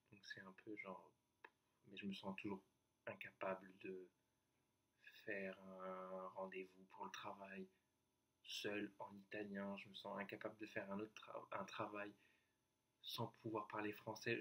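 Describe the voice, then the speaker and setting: A young man talking to his viewers in a casual, confessional vlog, hesitant and halting, often restarting and trailing off. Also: lowish voice, fairly bright tone, slightly rough. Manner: addresses the viewer directly, informal. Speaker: YouTuber.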